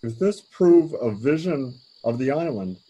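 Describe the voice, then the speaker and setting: Husky, grave man playing a repentant king. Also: mid-range voice, animated, warm tone, rough recording. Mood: awed, tender. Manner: grave, deliberate. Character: grieving, repentant king and father. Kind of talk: Shakespearean radio play acting.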